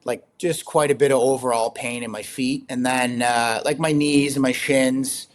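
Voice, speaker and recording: A young man with a slightly raspy voice, talking casually as an interview guest, rambling with lots of fillers and frequent laughs. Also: mid-range voice, steady tone, moderate pace. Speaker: guest, ultrarunner.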